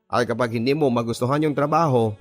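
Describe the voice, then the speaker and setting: A man's voice: mid-range voice, fast talker, faint music behind, close to an everyday voice. A man playing a stern but fair forty-year-old farm boss in a radio drama, with a big voice and a matter-of-fact manner. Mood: firm, businesslike.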